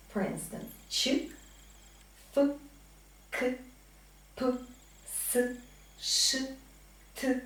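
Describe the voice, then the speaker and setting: Slow, echoey woman teaching pronunciation. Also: mid-range voice. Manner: deliberate, emphatic enunciation of single words. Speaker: English teacher. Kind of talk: pronunciation lesson with drilled example words. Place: small indoor classroom with whiteboard. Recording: very echoey room, distant microphone.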